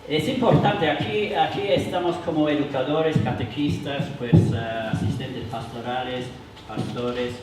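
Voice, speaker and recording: A man with a foreign accent, not a native speaker, leading a church workshop for adults, halting with many fillers and restarts. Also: mid-range voice, a crowd in the background. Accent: foreign accent in Spanish. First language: not Spanish. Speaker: workshop leader. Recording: roomy hall, mic from far, noisy.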